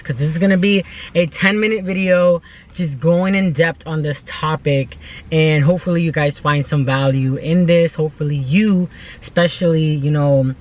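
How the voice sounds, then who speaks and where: A woman in her twenties from the United States talking casually and earnestly in an unscripted vlog, with informal, emphatic phrasing. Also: low-pitched. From United States, born in El Salvador. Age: 20s. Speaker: vlogger.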